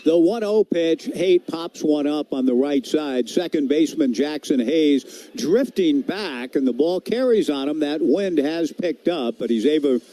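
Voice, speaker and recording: An American man doing baseball play-by-play with a very mellow, soft-edged tone. His voice sits a little high, and he phrases things in a measured, descriptive way. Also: expressive. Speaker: baseball radio play-by-play announcer. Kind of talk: sports play-by-play commentary.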